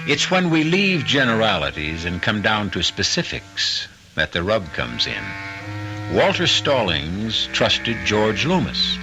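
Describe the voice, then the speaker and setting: A man hosting an old-time radio drama, delivering scripted narration in a theatrical, deliberate, philosophical manner. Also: lowish voice. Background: music.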